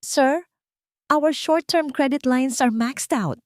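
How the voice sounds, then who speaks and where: A woman's voice: high voice, takes it slowly, dry recording, pitched above the performer's own voice. A worried finance officer in an audio drama story acted by a woman, cautious and deferential while giving warnings. The recording is dry, with hardly any room sound. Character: worried, cautious finance chief pleading with his boss.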